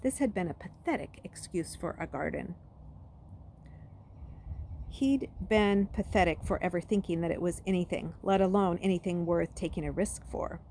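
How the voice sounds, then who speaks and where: A woman reading a story aloud as an expressive narrator. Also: on the low side, normal speed, slight background noise. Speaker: read-aloud reader.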